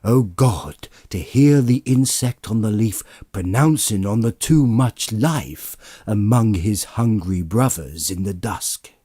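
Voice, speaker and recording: Man playing a stern, slow, solemn spirit. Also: lowish voice, expressive, dark tone, slightly rough, no echo, clean studio sound, full character voice. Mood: scornful, rebuking. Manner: solemn, drawn-out, emphatic declaiming. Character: stern, booming, righteous spirit rebuking a miser. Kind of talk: dramatized audiobook of a Victorian ghost story.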